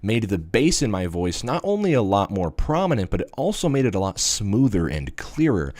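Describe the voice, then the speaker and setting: A man with a deep voice walks through a casual tech review, his pitch moving up and down a lot. He rambles with plenty of fillers. He is recorded close on a USB studio microphone with a dry sound. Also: big pitch swings, normal speed, a little mellow, no echo. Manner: rambling, filler-heavy explanations.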